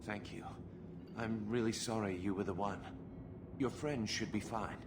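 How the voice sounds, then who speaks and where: An actor playing an earnest, idealistic templar conspirator in a fantasy game, pleading and sincere. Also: male voice, lowish voice, steady tone, measured pace, noisy background. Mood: subdued, regretful. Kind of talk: dramatic video game acting.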